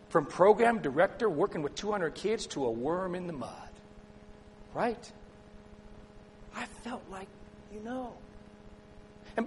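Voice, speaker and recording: A middle-aged man preaching a sermon, telling a personal story and asking the congregation questions, sometimes loud and sometimes soft. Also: sits high, a little hiss or hum. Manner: conversational, asks the congregation questions, swings between loud and soft. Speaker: pastor.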